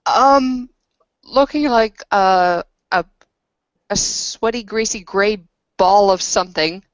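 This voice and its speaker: A woman's voice: medium pitch, slow, no echo, lo-fi sound. Hesitant woman playing a tabletop game. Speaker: player in a tabletop roleplaying game. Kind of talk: casual game chat, improvised description.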